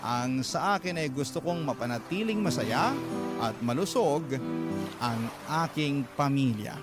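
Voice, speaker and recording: A man reading a grateful young married mother's letter for a radio drama, in an earnest, polite and steady voice. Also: medium pitch, unhurried, close to an everyday voice. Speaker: letter reader. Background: loud background music.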